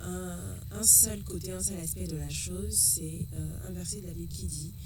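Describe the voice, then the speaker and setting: Soft-spoken woman co-host, lowish voice. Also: fairly even intonation, normal speed, very noisy. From Togo. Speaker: radio co-host. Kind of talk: casual radio talk.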